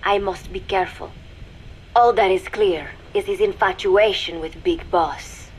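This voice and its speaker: An actress playing a cool, watchful young female spy in a video game, dictating a secret log in a measured, formal, deliberate way. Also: mid-range voice, steady tone, noisy background, lightly acted. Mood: quiet, secretive. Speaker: spy recording an intelligence log. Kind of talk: scripted video game voice acting, dramatic monologue.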